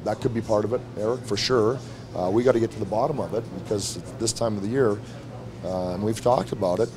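A man's voice: lowish voice, steady tone, quick pace, a crowd in the background. A middle-aged man, a head coach, answering reporters bluntly after a game, repeating his points. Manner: blunt, frank, repeats himself. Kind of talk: postgame press conference. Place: arena press room before a team backdrop. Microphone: several handheld mics held close.

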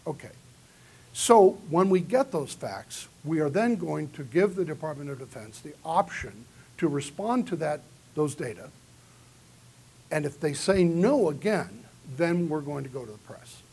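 A man's voice: fairly high pitch, lively intonation, measured pace, a little mellow, husky. A man giving a conference keynote in a large hall, lecturing earnestly and taking audience questions. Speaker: keynote speaker. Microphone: far camera in the audience.

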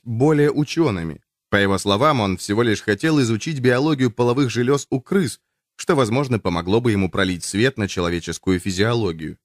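A male audiobook narrator with a mid-pitched voice reads nonfiction in measured phrases. His intonation moves widely and keeps the reading expressive. The sound is dry and close to the microphone. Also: no room sound.

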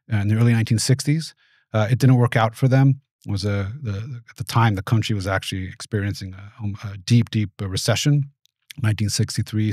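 A man in his forties, raised in the United States, giving thoughtful, measured interview answers in a small studio. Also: lowish voice, darkish tone, no room sound. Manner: measured, thoughtful, with frequent restarts. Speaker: guest, venture capitalist. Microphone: studio mic on boom arm.

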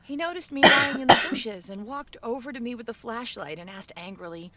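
A woman giving measured, subdued first-person narration for an animated story video. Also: on the high side, slight background noise.

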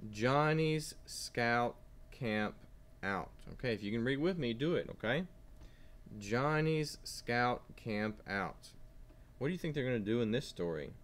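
Animated man reading aloud to young children. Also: mid-range voice. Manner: animated storytelling with simple questions to children. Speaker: kindergarten teacher.

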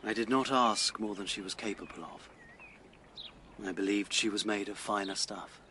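An actor playing a bitter, struggling writer and husband in a period radio drama, speaking formally and stiffly with self-pity. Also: male voice, fairly low pitch, moderate pace, sharp tone, slight background noise. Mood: weary, wounded.

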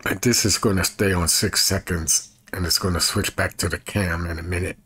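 A man in his fifties with a husky voice, chatting casually to camera in a folksy way and repeating himself as he demonstrates. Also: sits low, steady tone, moderate pace, bright, forceful tone, no room sound. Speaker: evangelist and video creator. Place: small bedroom, indoors.

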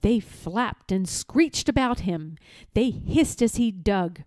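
A woman reading a children's story aloud in a deliberate, expressive, dramatic style. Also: medium pitch, no room sound, clean studio sound. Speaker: storybook reader.

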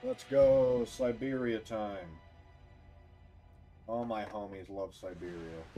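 A man's voice: fairly low pitch, takes it slowly, warm tone, soft background music. A man chatting casually and jokily to viewers as he livestreams a video game. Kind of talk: casual livestream gameplay chat.

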